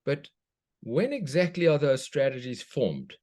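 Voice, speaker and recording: A man's voice: mid-range voice, very animated, a little slow, a little mellow. A man from South Africa, a children's book author, speaks thoughtfully as a guest, often restarting as he searches for words. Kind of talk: reflective conversation.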